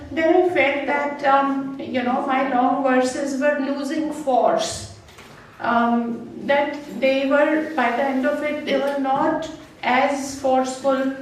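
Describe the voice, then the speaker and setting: A middle-aged woman from Punjab with an Indian English accent, speaking as a poet at a public reading. She pauses often with fillers and slips into Hindi phrases. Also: sits high, a little hiss or hum. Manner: halting, many fillers, mixes in Hindi. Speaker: haiku poet giving a reading. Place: club function hall. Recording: echoey hall, mic far off.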